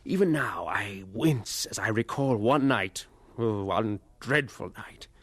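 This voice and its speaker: A man's voice: lowish voice, lively intonation, slow pace, fairly bright tone, dry recording, full character voice. An actor playing a grown man who looks back on his boyhood, narrating an audio drama in a solemn, reflective way. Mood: grave. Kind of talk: dramatized first-person narration.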